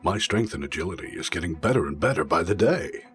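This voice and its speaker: A man's voice: sits low, lively intonation, quick pace, faint music behind. An actor playing a bookish young man with quaint turns of phrase in an audio drama. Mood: concerned.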